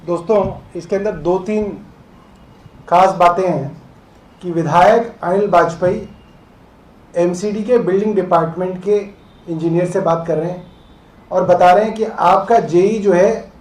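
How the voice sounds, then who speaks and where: A man speaking as a political spokesperson at a press conference, explaining deliberately with pauses. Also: high-pitched, a little mellow. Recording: roomy, echoing press room sound.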